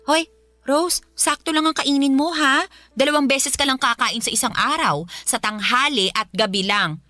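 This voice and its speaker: A woman's voice: high voice, normal speed, pushed and bright, no room sound, soft background music. A woman playing a scheming, harsh mother. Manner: sugary to husband, cruel and scolding to niece. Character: scheming, harsh middle-aged mother posing as aunt. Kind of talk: radio drama acting.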